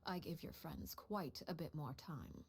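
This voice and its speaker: A woman voicing a stern, icily composed head of an assassin household in a video game, speaking low and measured, often near a whisper. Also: gravelly. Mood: coldly composed. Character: cold, stern mother figure called Father, head of an assassin household. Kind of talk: video game story dialogue.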